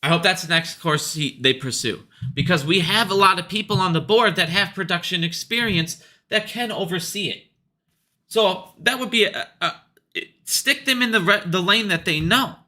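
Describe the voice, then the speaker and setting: A man with a very bright, hard-edged voice that sits a bit high. He talks off the cuff about money and business in a blunt, emphatic way, swearing freely and hammering his points by restating them. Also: normal speed. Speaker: retail investor commentator. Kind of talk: casual opinionated monologue about business.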